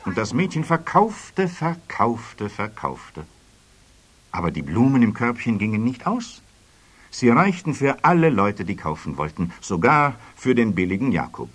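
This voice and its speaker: A man narrating a children's story expressively, with a husky voice. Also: on the low side, big pitch swings, unhurried.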